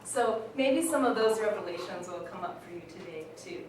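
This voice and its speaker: A woman's voice: medium pitch, animated, normal speed, a little mellow. A woman giving an informal welcome speech as a presenter, recorded from far off in an echoey, noisy hall. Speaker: presenter introducing the performance. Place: hall before an audience. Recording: echoey hall, distant mic, clear noise.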